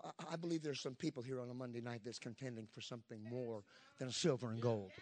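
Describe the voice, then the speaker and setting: A man in his fifties preaching a Pentecostal sermon, emphatic, with frequent call-and-response asides to the congregation. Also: high voice. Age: 50s.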